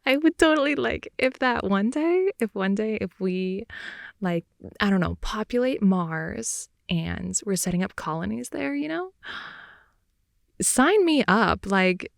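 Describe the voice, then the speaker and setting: Woman as playful, clingy young girlfriend: slow, rambling bedtime chat, close dry mic, intimate ASMR feel. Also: mid-range voice, animated, gravelly, no room sound. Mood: playful, giggly. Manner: rambling, giggly, teasing, with hushed asides.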